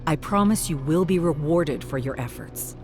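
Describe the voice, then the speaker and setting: Male monster hunter, performed by a narrator: stern, proud, commanding, mid pitch, gothic fantasy storytelling with music behind. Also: animated, normal speed, close to an everyday voice. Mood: stern, urgent. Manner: firm, commanding.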